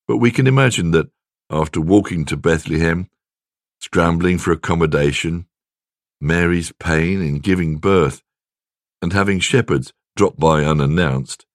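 Deep-voiced man reading a devotional. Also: no room sound, clean studio sound. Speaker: devotional reader and host. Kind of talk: scripted devotional reading with scripture and prayer.

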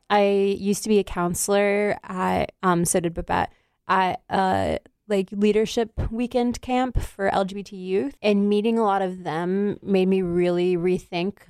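Woman, former camp counselor, casual discussion, hesitant with ums, lowish voice, dry close sound. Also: a little mellow, smooth, dry recording.

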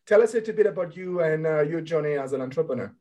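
A man's voice: fairly high pitch, expressive, very fast, warm tone. A man hosting a podcast, reading a scripted intro and then interviewing a guest. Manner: announcer-like delivery with ad reads. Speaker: podcast host.

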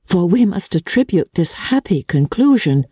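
A woman voicing a sensible, gentle young gentlewoman in a classic-novel audiobook, speaking in a measured, earnest way. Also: on the low side, sing-song, husky, dry recording, studio quality, voice pushed up. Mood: gentle, reasoning. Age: young adult.

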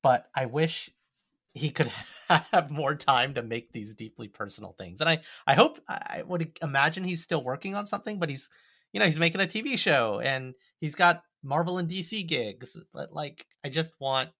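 A man's voice: on the high side, normal speed, bright, forceful tone, no echo, studio quality. A man with an American accent talking casually as a podcast co-host, telling stories in a joking, conversational way. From United States.

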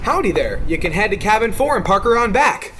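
A man's voice: sits high, big pitch swings, a little fast, music in the background. A man voices a folksy lodge worker in an audio play. The delivery is that of amateur drama. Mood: friendly.

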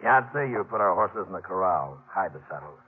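An actor playing a cold outlaw gang boss in a 1950s radio western, curtly barking orders with menace. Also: male voice, sits low, animated, fairly quick, breathy edge. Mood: commanding. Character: cold commanding male outlaw gang boss posing as sheriff. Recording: muffled, noisy 1950s radio recording.

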